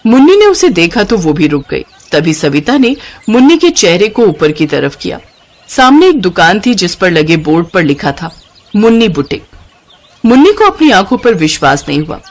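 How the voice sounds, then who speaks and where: A woman's voice: on the low side, big pitch swings, moderate pace. A woman storyteller reading scripted narration for a moral tale.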